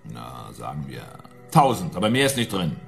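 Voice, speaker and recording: Glib, condescending schemer, animated delivery. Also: male voice, a bass voice, normal speed, gravelly, soft background music, full character voice. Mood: smug, impatient. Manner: smug, instructing, impatient. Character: glib, condescending male schemer posing as art doctor. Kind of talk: radio play acting.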